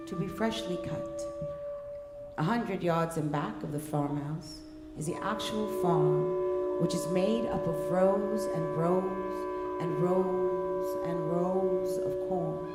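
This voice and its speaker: A woman reading a scripted story in a measured, deliberate way, pausing between short phrases. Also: on the low side. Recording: echoey room, faint music behind.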